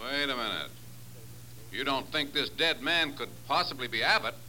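An actor playing a skeptical, gruff agency chief in an old radio drama. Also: male voice, mid-range voice, expressive, moderate pace, bright, forceful tone. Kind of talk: 1950s radio drama acting. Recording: slightly noisy 1950s radio recording.

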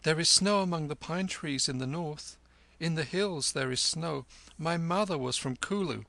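A man's voice: a little high, normal speed, creaky, pushed and bright, no echo, voice pushed up. A man doing a teasing young woman. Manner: teasing, lilting.

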